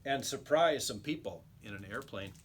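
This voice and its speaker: Animated man reading a children's story. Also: mid-range voice, normal speed, slight background noise. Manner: expressive, emphatic storytelling. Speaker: read-aloud teacher. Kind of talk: expressive children's storybook narration.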